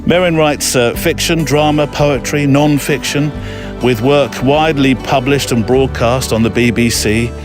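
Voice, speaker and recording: A man's voice: medium pitch, steady tone, measured pace, music in the background. A man hosting a podcast in a casual, rambling, enthusiastic and self-deprecating way. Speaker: podcast host. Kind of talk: casual chatty podcast presenting.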